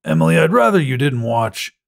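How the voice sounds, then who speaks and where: A man's voice: a little high, sing-song, a little fast, creaky, voice pushed up, heavily acted. A man voicing an irritated, sceptical father in an audiobook story, with a curt, huffy manner. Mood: irritated, disbelieving. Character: irritated, sceptical, scornful father on a museum visit.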